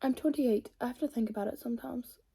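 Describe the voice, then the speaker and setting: A woman's voice: fairly high pitch, quick pace, vocal fry, mellow, slightly rough. A woman, chatting casually and rambling while she does her makeup. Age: 20s. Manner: chatty and rambling, many fillers. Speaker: beauty vlogger.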